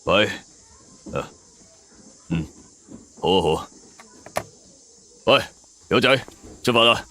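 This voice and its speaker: A man's voice: on the low side, lively intonation, slow pace, sharp tone, a little hiss or hum, full character voice. A man voicing a veteran police detective, terse, businesslike and slightly anxious, in an audio story. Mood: anxious, brisk.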